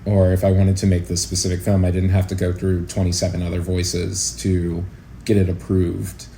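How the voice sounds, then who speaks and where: Low, mellow-voiced man in casual interview. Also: completely flat delivery, normal speed, vocal fry, a little hiss or hum. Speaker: interview guest, actor-producer and educator.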